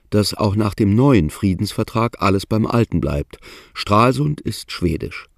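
A man narrating a radio play in a calm, measured, reflective way. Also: fairly low pitch, no echo, studio quality.

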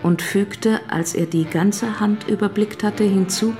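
Eine Frau erzählt als Sprecherin eines literarischen Hörspiels, gemessen und bedacht. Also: female voice, mid-range voice, fairly even intonation, unhurried, warm tone, faint music behind, close to an everyday voice. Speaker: narrator. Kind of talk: literary narration in a radio play.

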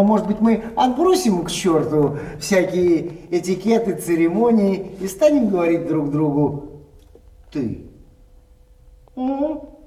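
An actor playing a jovial, excitable, flattering male gambler in a theatrical radio play, exclaiming and repeating words with relish. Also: sits high. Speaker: stage actor. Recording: roomy, distant pickup with music.

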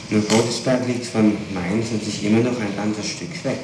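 A man's voice: fairly low pitch, fairly even intonation, moderate pace, distant mic, noisy background. Probing male interrogator, very echoey old tape. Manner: probing, pointing out contradictions. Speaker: police interrogator. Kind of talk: formal questioning.

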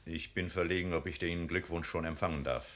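Radio drama: reserved, grave ageing cavalry colonel, bass register, terse and measured. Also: male voice, steady tone, a bit bright. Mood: grave, guarded. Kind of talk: 1950s German radio drama verse acting.

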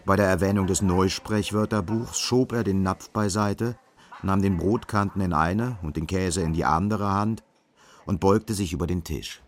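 A man's voice: low-pitched, normal speed, natural voice. A man narrating a literary radio drama in scripted prose.

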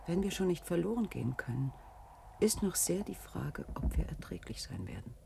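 A man playing a sceptical, sombre survivor in a 1970s radio drama, deliberate and formal in his speech. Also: fairly high pitch, sing-song, gravelly, heavily acted. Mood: sombre, doubting. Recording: noisy 1970s radio recording.

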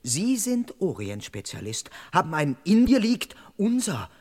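An actor playing a dutiful London police constable in a crime radio play, speaking in a measured, formal, precise and officious way. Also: male voice, sits high, huge pitch swings, darkish tone, no echo, clean studio sound, heavily acted. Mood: stern, formal. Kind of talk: German crime radio play, first-person narration and dialogue.

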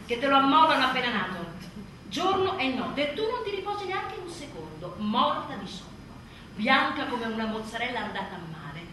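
A woman's voice: sits high, sing-song, moderate pace, sharp tone, full character voice. An actress playing an exhausted, sardonic new mother in a theatrical radio monologue, emphatic and full of sarcasm. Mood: weary, bitter. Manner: emphatic, sarcastic, mimicking others mockingly. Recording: echoey room, distant mic, faint noise.

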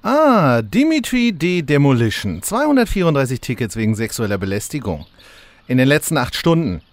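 Man acting a grumpy, animated game master. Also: mid-range voice, normal speed, dry recording, natural voice. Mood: exasperated, sardonic. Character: grumpy, weary male game master with coffee, officious. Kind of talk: comic fan audio drama acting.

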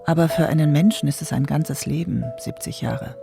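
A man's voice: on the high side, normal speed, vocal fry, over music. A man performing reflective, literary narration as a melancholy ghostly figure in a radio play. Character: ghostly narrator gathering lost memories, melancholy.

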